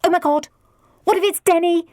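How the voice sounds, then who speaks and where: A woman's voice: very high voice, big pitch swings, normal speed, darkish tone, no room sound, studio quality, pitched above the performer's own voice. Woman doing a squealing, vain teenage girl. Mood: panicked. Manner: squealing, sulky.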